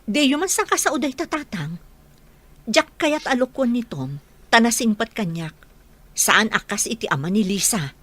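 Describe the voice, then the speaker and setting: A woman's voice: on the high side, animated, moderate pace, a bit bright, no room sound. A woman acting an earnest, admonishing mother in an Ilocano radio drama, full of questions, with an Ilocano accent. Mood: indignant, protesting. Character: earnest scolding mother, loyal to her husband's earnings.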